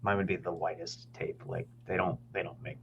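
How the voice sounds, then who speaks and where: A man's voice: low voice, brisk, slight background noise. A man co-hosting a podcast in casual chat, joking and swearing. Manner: animated, joking, profane. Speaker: podcast co-host. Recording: dull lo-fi sound.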